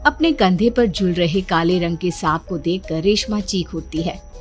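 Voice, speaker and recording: A woman's voice: on the low side, fairly even intonation, measured pace, soft background music. A woman reading scripted folk-tale narration as a storyteller.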